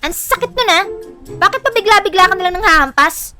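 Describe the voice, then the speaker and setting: Woman acting a high, bright, sassy little sister. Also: lively intonation, fairly quick, faint music behind, heavily acted. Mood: pained, indignant. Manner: complaining, sassy, exclamatory. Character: indignant, flippant younger sister, stubborn and whiny.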